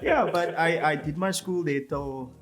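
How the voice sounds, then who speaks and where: A man's voice: fairly high pitch, unhurried, loud music behind the voice. A young South African man with a Cape Town accent, a podcast guest telling his life story in a casual, storytelling way, with many fillers and restarts. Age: young adult.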